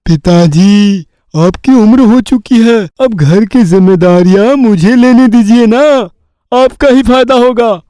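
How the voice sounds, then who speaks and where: Man acting a foolish boy, cocky, self-satisfied, mellow and smooth, cartoon story. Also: high voice, no room sound, clean studio sound. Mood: boastful. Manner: self-satisfied, slow-witted, drawn-out talk. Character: foolish, cocky village boy, proud of himself. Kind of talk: Hindi cartoon story dialogue.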